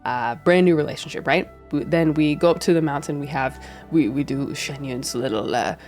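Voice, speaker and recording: Low-voiced woman with a head cold. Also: moderate pace, a little mellow. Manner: earnest, rambling, many restarts and fillers. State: head cold, a bit nasal. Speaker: streamer and video creator. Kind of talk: casual reflective commentary to camera. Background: faint background music.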